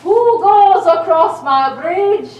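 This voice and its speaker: A woman playing a greedy, menacing bridge troll in a children's puppet show, with drawn-out, declaring lines. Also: animated, a little mellow, muffled recording, full character voice. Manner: slow, drawn-out, booming declarations. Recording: roomy, distant mic, faint background noise.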